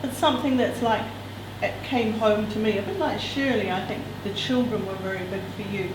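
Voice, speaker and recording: A woman's voice: mid-range voice, brisk. A woman reading a novel extract aloud to others with expression, adding casual asides. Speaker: reader at a literary event. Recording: echoey room, distant mic, background noise.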